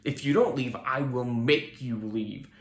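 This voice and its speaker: A man voicing a grumpy, green-skinned cloaked man with a low, gravelly voice. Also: measured pace, echoey room, lightly acted. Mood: threatening.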